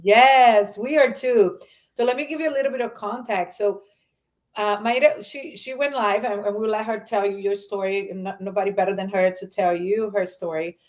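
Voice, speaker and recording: A woman's voice: medium pitch, fairly even intonation, normal speed. A woman from Argentina hosting a live stream, talking in a chatty way and often restarting her sentences. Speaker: host.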